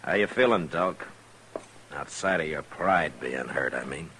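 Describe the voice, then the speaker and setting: An actor playing a tough, commanding American frontier marshal in 1950s western radio style, deliberate, blunt and dryly menacing. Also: male voice, a bass voice, expressive, fairly bright tone, full character voice. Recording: noisy old radio recording.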